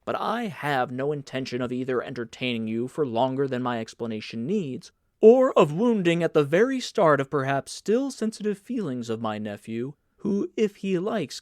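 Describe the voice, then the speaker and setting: A man's voice: medium pitch, sing-song, normal speed, hardly any pauses, darkish tone, no echo, clean studio sound, lightly acted. A man voicing a pompous older senator in an audiobook, speaking in an emphatic, declamatory, oratorical way. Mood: lofty, emphatic. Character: pompous, theatrical senator uncle, older man, grandly indignant. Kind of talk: dramatic audiobook character reading.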